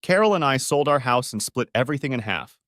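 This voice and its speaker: A man narrates a scripted story in the first person. His mid-pitched voice swings widely in intonation. The sound is dry, with no room around it. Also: animated, quick pace, a bit bright, no room sound.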